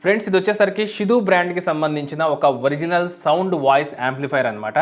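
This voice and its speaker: A man's voice: a little high, rapid-fire. A man explaining a product step by step as a tech reviewer, in an unboxing video style. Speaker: YouTube tech reviewer and host.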